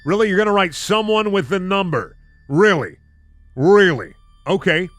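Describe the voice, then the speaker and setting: A man's voice: high voice, animated, normal speed, fairly bright tone, no room sound. A man hosting a comedic reading of bad fan fiction, narrating with incredulous, sardonic asides. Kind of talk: comedic narration with sarcastic commentary.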